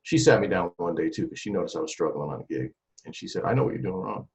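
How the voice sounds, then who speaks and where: A man in his forties talking about his music career in a casual interview, animated and full of restarts and repeated words. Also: sits low, quick pace, vocal fry. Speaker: trumpeter and singer, interview guest. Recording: dull lo-fi sound.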